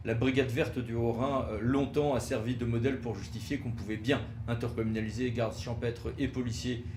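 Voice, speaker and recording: A man presents like a legal expert giving a lecture, flagging key points emphatically and often hesitating as he explains. His pitch is average, and the recording has a roomy echo with faint noise behind it. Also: steady tone, a little fast, darkish tone. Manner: didactic, emphatic signposting with frequent hesitations.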